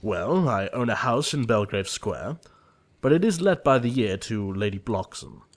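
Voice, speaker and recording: An earnest young gentleman suitor from a period audio drama, acted by a man. His voice is fairly low. He speaks formally and politely, with a deferential manner. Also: animated, normal speed. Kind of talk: theatrical audio drama acting.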